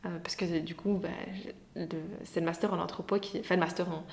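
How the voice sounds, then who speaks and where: A woman's voice: lowish voice, little pitch movement, a little fast, vocal fry, a little mellow. A young adult woman, an interview guest telling her story with lots of fillers and restarts. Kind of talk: casual interview conversation.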